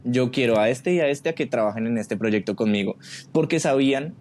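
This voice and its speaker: A man's voice: mid-range voice, brisk, darkish tone. A man with a Colombian accent talking casually and reflectively as a guest in an interview. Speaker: podcast guest, creative director.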